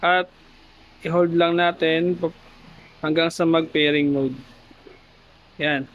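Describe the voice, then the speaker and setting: A man's voice: on the high side, fairly even intonation, slow. A man with a Filipino accent giving a casual tutorial vlog, chatting informally to his viewers. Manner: informal, addressing viewers with tag questions. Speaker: motovlogger. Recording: muffled helmet intercom mic, background noise.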